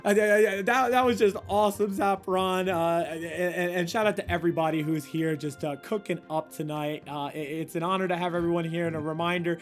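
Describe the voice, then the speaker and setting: High-energy male stream host, slightly hoarse, fast stammering shout-outs over faint game music. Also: fairly even intonation. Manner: hyped, rapid shout-outs with frequent stammered restarts. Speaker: tournament host and commentator. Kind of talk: energetic esports stream commentary.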